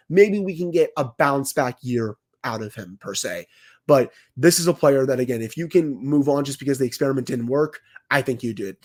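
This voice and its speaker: A man co-hosting a hockey podcast while fighting a cold, a bit raspy, giving opinionated takes full of stats and careful hedges. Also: medium pitch, darkish tone. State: has a cold, slightly raspy. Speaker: podcast co-host, hockey analyst. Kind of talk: casual sports podcast talk.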